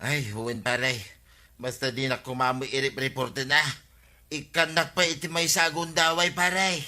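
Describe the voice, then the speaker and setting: A man with an Ilocano Filipino accent playing an excitable, shifty village husband in a radio comedy drama. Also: mid-range voice, a little slow. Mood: defensive.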